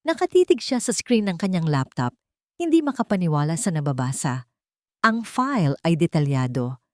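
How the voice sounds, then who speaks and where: A woman's voice: on the low side, very animated, moderate pace, dry recording, clean studio sound. A woman storyteller delivering scripted, dramatic narration for a romance audio drama.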